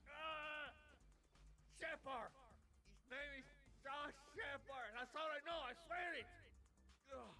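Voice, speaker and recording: An actor playing a sleazy, foul-mouthed club boss, a lecherous and blustering bully in a video game. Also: male voice, very high voice, slow, long pauses, sharp tone, faint music behind, full character voice. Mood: panicked, pained. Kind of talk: video game villain acting.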